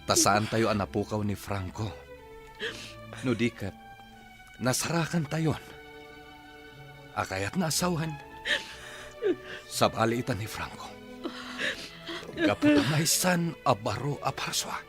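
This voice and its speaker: An actor playing an earnest, forgiving brother in a radio drama, with an Ilocano accent. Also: male voice, mid-range voice, big pitch swings, slow pace, long pauses. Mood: hopeful. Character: earnest, forgiving brother reconciling a broken family. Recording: prominent music behind the voice.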